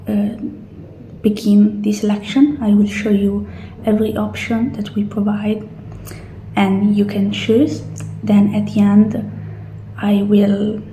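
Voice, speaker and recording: A woman playing a calm, polite futuristic clinic receptionist in a soft-spoken, gentle, unhurried ASMR roleplay. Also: medium pitch, reverberant, slight background noise, rough recording. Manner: gentle, unhurried, reassuring customer-service talk.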